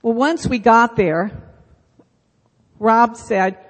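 A woman's voice: fairly high pitch, drawn-out words. A middle-aged woman leading a church Bible school, speaking casually into a microphone and telling self-deprecating stories. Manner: chatty, self-deprecating storytelling. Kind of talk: casual church announcement and talk. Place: church sanctuary. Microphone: handheld microphone. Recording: long reverb of a church hall.

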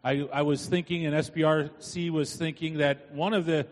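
A middle-aged man giving informal, halting announcements to a congregation as a church committee chair. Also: a little high, steady tone. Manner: halting, long-winded. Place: inside a church. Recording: church hall with long reverb.

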